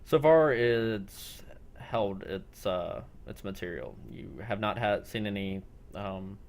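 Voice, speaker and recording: Man, low voice, game master for an apocalypse-style role-play. Halting, deliberate delivery. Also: expressive.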